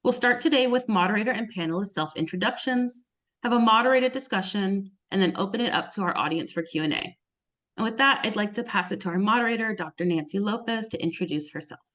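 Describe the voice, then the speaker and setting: A woman's voice: mid-range voice, fast, a bit bright. A woman hosting a webinar, reading a prepared opening before handing over to the moderator. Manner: reads a prepared introduction. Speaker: webinar host.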